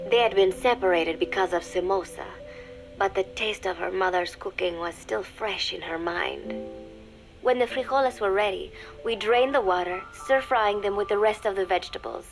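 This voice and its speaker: Woman acting measured, scornful young female spy over music. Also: mid-range voice, vocal fry, lightly acted, rough recording. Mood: measured, dry. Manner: measured, confiding, dryly scornful. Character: young female undercover spy, secretly cold and disdainful, dictating diary. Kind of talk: scripted dramatic diary narration for a game.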